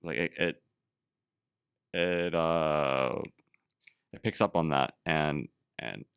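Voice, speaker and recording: A man's voice: a bass voice, takes it slowly, vocal fry, fairly bright tone, clean tone, dry recording. A man hosting a casual conversation, talking reflectively and rambling with lots of "like". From Canada. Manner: reflective, rambling, heavy use of "like".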